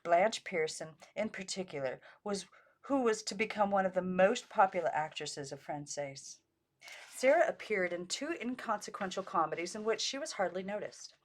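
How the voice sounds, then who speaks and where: A woman's voice: mid-range voice, lively intonation, moderate pace. Woman reading aloud, unpolished amateur narration. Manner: unpolished, stumbles over foreign names and rereads. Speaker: audiobook reader.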